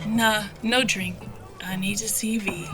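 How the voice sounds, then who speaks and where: A woman playing a brash, salty bounty hunter in an audio drama, teasing and rough-and-ready in her talk. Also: medium pitch, fairly even intonation, a little slow, music in the background, close to an everyday voice. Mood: impatient.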